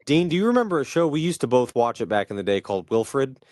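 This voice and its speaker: A man's voice: medium pitch, big pitch swings, fast talker, darkish tone, no echo, studio quality. A man co-hosting a podcast in casual, conversational talk, swearing freely. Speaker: podcast co-host. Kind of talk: casual chat.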